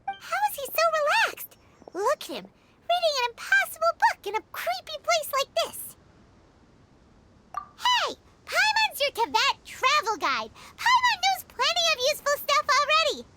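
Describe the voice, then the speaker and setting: An excitable little fairy companion from an anime game, with a squeaky, childlike voice pitched extremely high. The delivery is animated and a bit whiny, swinging widely in tone. Loud noise sits behind the voice. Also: female voice, measured pace, full character voice. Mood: exasperated, indignant. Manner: refers to self in third person, expressive. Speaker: travel guide companion.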